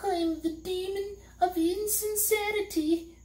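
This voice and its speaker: A woman doing a squeaky sly little demon, storybook read-aloud, slow, echoey room. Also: very animated, a little mellow, full character voice. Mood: whimpering. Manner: squeaky and gleeful. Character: small furry male demon, sly fibber then sobbing.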